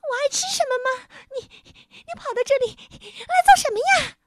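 Teeny squeaky goblin for a kids story, super high, hungry n rude, stutters when worked up. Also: female voice, animated, moderate pace, no room sound. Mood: rude, indignant. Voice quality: thin, shrill, squeaky voice. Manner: stammering, exclaiming, giggling. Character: tiny hungry moonlight imp, rude, excitable, greedy.

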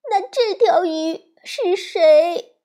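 A woman's voice: very high-pitched, unhurried, pitched above the performer's own voice. Woman doing a weepy little bear girl. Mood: upset, tearful. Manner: tearful, pleading. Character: little girl bear cub grieving her goldfish.

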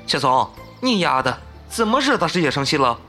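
Actor as a bright, brash young gamer guy. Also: on the high side, sing-song, brisk, exaggerated voice. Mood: indignant. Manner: blunt, slangy, exclamatory. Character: brash, hot-headed young male gamer buddy. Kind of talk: modern audio drama acting. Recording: music behind the voice.

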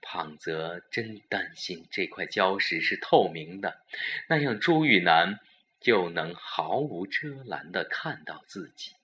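Slow, low-voiced male suspense narrator. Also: animated, sharp tone. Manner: dramatic, deliberate storytelling. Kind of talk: scripted suspense audio drama narration.

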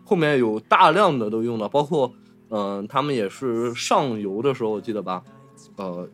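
A man's voice: medium pitch, lively intonation, normal speed, faint music behind. A man, talking casually and enthusiastically about film as a podcast host, often repeating himself.

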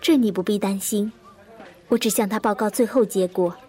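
An actress playing a composed, probing woman investigator in an audio drama, measured and introspective as she recalls a fateful case. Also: mid-range voice, mellow, lightly acted. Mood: calm, firm. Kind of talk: audio drama narration and dialogue. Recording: echoey long reverb, music behind.

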